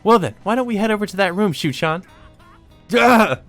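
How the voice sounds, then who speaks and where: A man's voice: sits high, expressive, normal speed, a bit bright, dry recording, pitched above the performer's own voice. A man voicing a flirty, gushing, teasing woman from a visual novel. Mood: playful, teasing. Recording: music behind the voice.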